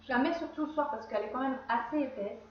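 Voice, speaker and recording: A woman's voice: sits high, fast, a little hiss or hum. A woman with a cold chatting casually through her skincare routine, rambling and often correcting herself. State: has a cold. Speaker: beauty vlogger. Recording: very echoey room, slightly dull sound.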